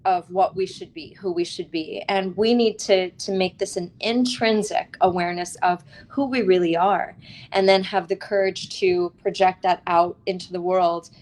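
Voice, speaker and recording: A woman speaking as an interviewed author, earnest and reflective, with deliberate, emphatic phrasing and pauses. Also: fairly low pitch, fairly even intonation, a little mellow, poor audio.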